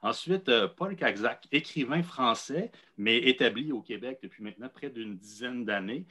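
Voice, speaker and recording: A man with a Québécois accent hosting a literary interview in a measured, explanatory way. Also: mid-range voice, animated, sharp tone, slightly rough. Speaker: host, interviewer.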